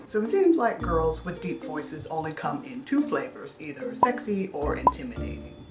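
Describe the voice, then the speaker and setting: A young woman with a deep contralto voice, talking casually and dryly to camera in a self-mocking vlog. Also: big pitch swings, normal speed. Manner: dry, self-deprecating humor. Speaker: YouTuber. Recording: echoey room, mic at a distance, music behind.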